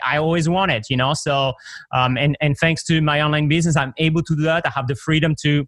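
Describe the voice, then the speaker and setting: A man's voice: on the high side, steady tone, a little fast, fairly bright tone, no room sound, clean studio sound. A man from Belgium with a Belgian accent, guest on a podcast interview, talking casually with many fillers and restarts. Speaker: software founder guest.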